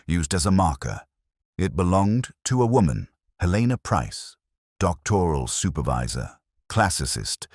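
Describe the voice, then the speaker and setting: Man acting as older male detective, radio drama narration, very deep voice, dry close sound, grave, wry, cerebral, measured. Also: no room sound, full character voice. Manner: measured, reflective, literary, grave. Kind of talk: scripted first-person mystery narration, radio drama.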